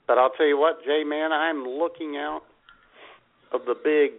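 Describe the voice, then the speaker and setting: Male co-host, folksy joker, gravelly, phone-line sound. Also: a little high, steady tone, unhurried. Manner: folksy, joking banter. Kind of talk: casual radio chat.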